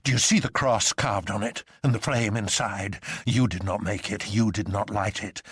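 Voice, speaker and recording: A man voicing an impassioned, defiant gentleman swordsman in a dramatised novel reading, declaiming theatrically. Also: lowish voice, normal speed, husky, no room sound, studio quality. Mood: righteous fury.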